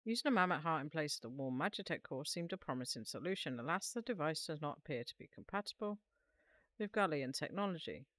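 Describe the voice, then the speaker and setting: A woman's voice: deep voice, animated, quick pace, a bit bright, dry recording, studio quality, pitched below the performer's own voice. A woman voicing an earnest, wordy engineer explaining machinery in a fantasy video game. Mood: earnest, explanatory.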